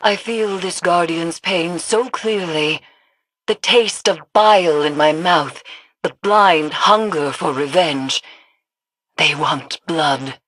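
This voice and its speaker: An actress playing a solemn, brooding woman mystic in a video game, speaking gravely and formally with deliberate weight. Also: lowish voice, a bit bright, slight background noise. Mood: sorrowful, ominous. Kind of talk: scripted video game character dialogue.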